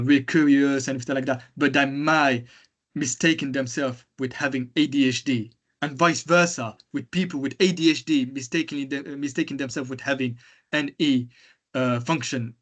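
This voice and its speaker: A man with a loud voice gives a conference presentation. He pauses and fills gaps with ums and you-knows as he talks through his points, his pitch sitting in the middle range. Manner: hesitant, many fillers. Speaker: conference speaker. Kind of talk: presentation talk.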